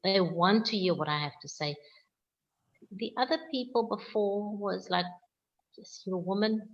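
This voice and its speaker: A South African woman winemaker answering questions on a video call, speaking slowly and with firm emphasis. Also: mid-range voice, muffled recording. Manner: slow, deliberate, emphatic. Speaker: winemaker guest.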